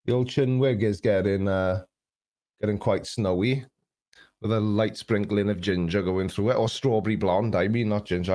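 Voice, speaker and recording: Chatty low-voiced man in his forties. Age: 40s. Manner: chatty, jokey, self-deprecating, reads viewer comments aloud. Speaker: YouTube host. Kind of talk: live stream chat reading.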